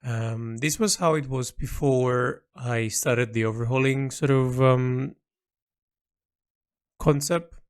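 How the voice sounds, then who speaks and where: A man giving informal audio log commentary on his own artwork, speaking in a halting, reflective way with pauses mid-phrase. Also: medium pitch, clear, resonant tone. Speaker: artist narrating own project log.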